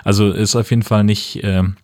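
A man's voice: fairly low pitch, level tone, moderate pace, a little mellow. A man chatting casually as a podcast co-host. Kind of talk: casual chat.

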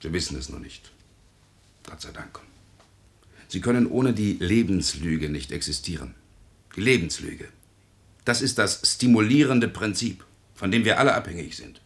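An actor playing a cynical, world-weary doctor in a radio play, speaking in a deliberate, sardonic, lecturing way. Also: male voice, lowish voice, expressive, lightly acted. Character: cynical, world-weary male doctor, blunt yet concerned. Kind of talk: German radio play acting.

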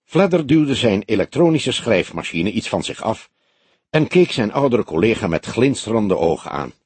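A man's voice: fairly low pitch, very animated, normal speed, darkish tone, breathy edge, no room sound. A man reading a crime novel aloud as scripted audiobook narration.

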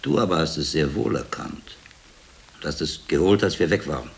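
A man's voice: rumbling low, moderate pace, gravelly. A male actor playing a shrewd medieval friar and investigator in a radio drama, speaking with dry, insistent authority. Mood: probing, insistent.

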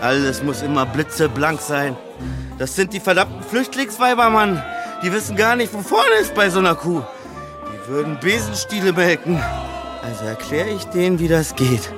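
A man's voice: high voice, sing-song, normal speed, music in the background. A young man acting in a German radio drama as a cocky, crude, drunken teenage farmhand who talks with a mocking, loose tongue. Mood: crude, boastful. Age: young adult.

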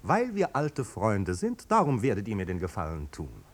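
Theatrical, swooping snobbish marquis. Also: male voice, wildly swooping pitch, moderate pace. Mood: ironic, defiant. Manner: languid, theatrical, ironic. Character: snobbish, amused aristocratic marquis, blasé and mocking. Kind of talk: 1950s German radio drama acting. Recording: old radio recording, rumbling background noise.

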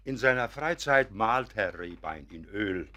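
An actor playing a genial professor in a German radio play, courtly and effusive, smoothing things over. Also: male voice, lowish voice, animated, measured pace, sharp tone. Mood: jovial. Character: genial, diplomatic professor showing a newcomer round.

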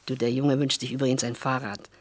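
An actress playing a resolute, caring wife and protective neighbour in a German radio play, firm and matter-of-fact. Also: female voice, extremely low pitch, flat delivery, brisk. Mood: earnest, caring.

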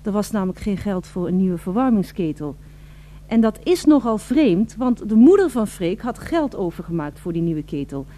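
A woman's voice: medium pitch, very animated, normal speed, mellow, close to an everyday voice. An actress playing an eager, polite female radio reporter in a radio drama, with formal, florid and emphatic delivery. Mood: confiding narration.

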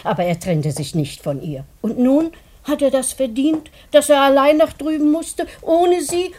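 An actress playing a talkative, devoted and sentimental housekeeper in a German radio drama, chatty and emotive. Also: female voice, high-pitched, animated, a little slow, warm tone. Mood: indignant, gossipy.

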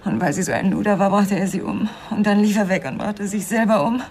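An actress playing a tragic, bitter woman with a lost love in a radio crime drama, speaking in a halting, emotional way. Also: mid-range voice, darkish tone, lightly acted. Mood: anguished, bitter.

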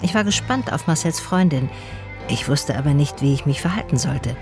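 A woman's voice: sits low, animated, normal speed. Dry female private detective, music underneath. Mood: reflective, narrating. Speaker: narrator and lead character. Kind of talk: radio play narration and dialogue.

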